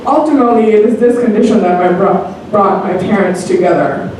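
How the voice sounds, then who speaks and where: A woman's voice: mid-range voice, a little fast, noisy background. A woman from Bangladesh telling a personal story on stage, earnest and hesitant with frequent ums. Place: comedy theater stage. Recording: echoey theater from far off.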